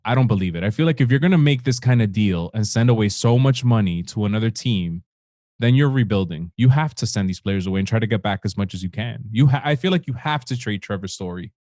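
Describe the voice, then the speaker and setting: A man's voice: fairly low pitch, quick pace, no room sound, studio quality. A man hosting a baseball podcast, talking casually and loosely, with fillers. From United States. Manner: relaxed, conversational, with fillers. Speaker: podcast co-host.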